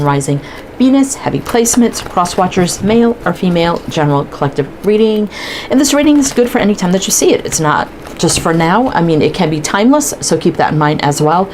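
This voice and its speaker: Stuffy woman w/ a low voice doing a chatty tarot reading, faint hiss behind. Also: darkish tone, poor audio. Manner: chatty, thinks aloud while pulling cards. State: stuffy from allergies, sniffling. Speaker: tarot reader. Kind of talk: casual tarot card reading to camera.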